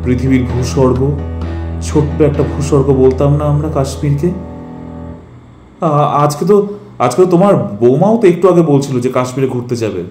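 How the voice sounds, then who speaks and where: A man playing a concerned, bossy middle-aged father, speaking insistently in an animated family drama. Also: mid-range voice, a little fast, pauses often, close to an everyday voice. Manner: insistent, repeats short commands. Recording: roomy echo with music behind.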